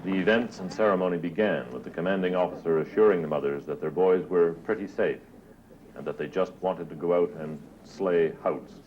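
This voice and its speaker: A man's voice: deep voice, fairly quick, dull audio, a little hiss or hum. A man reading a scripted news report as a reporter, on 1970s newsreel film sound.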